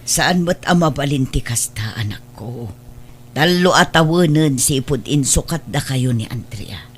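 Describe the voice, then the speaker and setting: A woman's voice: rumbling low, animated, a little slow, slight background noise. A woman playing a worried, pleading elderly mother with an Ilocano accent in a radio drama. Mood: worried scolding. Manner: pleading, admonishing.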